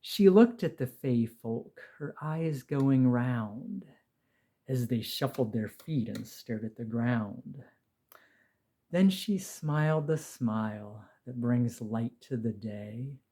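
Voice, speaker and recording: A man reading a rhymed story poem aloud as an expressive, measured storyteller. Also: medium pitch. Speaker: poetry reader, narrator.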